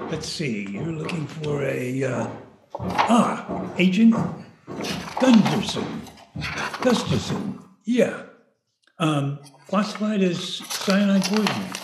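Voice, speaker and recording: A chatty, casual morgue doctor in a radio play. He rambles along slowly with animated swings in pitch, and the sound is echoey. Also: background noise, heavily acted. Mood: easygoing. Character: easygoing, chatty male hospital morgue doctor. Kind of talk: comedic radio play acting. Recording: roomy, echoing studio scene.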